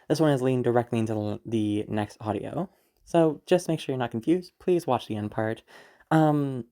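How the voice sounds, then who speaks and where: Female audio roleplay creator, informal and reassuring, halting delivery, low register with fry. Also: dark tone. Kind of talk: casual spoken content warning.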